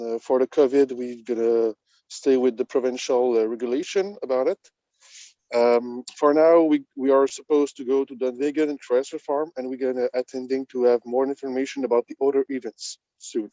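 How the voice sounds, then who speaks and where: Man, careful halting English. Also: medium pitch, steady tone, dark tone, dry recording, highs cut off. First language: French. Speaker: sergeant.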